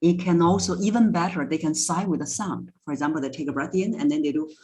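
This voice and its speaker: A woman's voice: lowish voice, quick pace, darkish tone. A woman speaking as an interview guest and voice coach, explaining things conversationally and instructively with step-by-step examples. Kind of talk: conversational expert advice.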